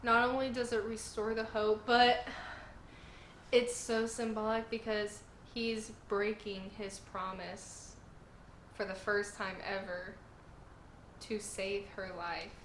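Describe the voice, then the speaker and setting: A woman's voice: mid-range voice, level tone, slow, a bit bright, slight background noise. Woman host, sore-throated and emotional, reviewing from a roomy space. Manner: earnest and emotional, gushing. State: sore throat, voice sounding strained. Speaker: channel host reviewing manga chapters. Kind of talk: casual video review.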